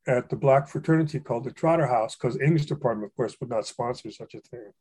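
An older man from Hawaii telling stories about fellow poets in a relaxed conversation, with frequent fillers and restarts. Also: medium pitch, fast, husky. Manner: rambling, many fillers and restarts, warm anecdotes. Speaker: poet and guest. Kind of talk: reflective storytelling conversation.

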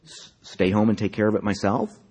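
A man's voice: fairly low pitch, level tone, a little fast, a little mellow, slightly rough, in a large hall, pitched above the performer's own voice. A man voicing a naive, earnest teenage girl from an educational film, with wide-eyed stiffness. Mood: timid.

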